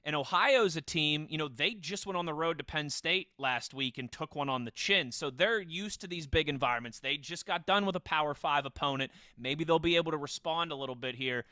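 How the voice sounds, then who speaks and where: Bright, clear-voiced man hosting sports talk. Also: fairly high pitch, fairly quick, hardly any pauses, dry recording, clean studio sound. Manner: conversational, steers questions to co-hosts. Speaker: podcast host. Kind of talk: casual football podcast chat.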